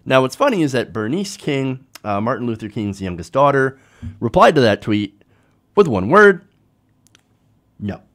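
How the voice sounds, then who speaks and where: A man's voice: mid-range voice, big pitch swings, normal speed, long pauses. A man hosting a political commentary show, talking informally to viewers in a conversational way with rhetorical tag questions.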